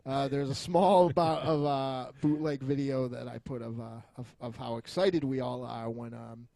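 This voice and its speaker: A man's voice: medium pitch, fairly even intonation, moderate pace, gravelly, warm tone, dry recording. A man chatting casually as a podcast co-host, rambling and joking with frequent chuckles and some swearing. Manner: jokey, foul-mouthed, rambling, often chuckling.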